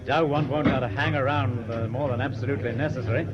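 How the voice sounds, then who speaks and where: Actor as steady captive seaman, muffled recording. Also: mid-range voice, fast, very noisy, close to an everyday voice. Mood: steady, firm. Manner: steady, reasoning, firm. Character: level-headed captive seaman leading fellow prisoners. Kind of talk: radio drama acting. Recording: dull lo-fi radio play, loud music behind.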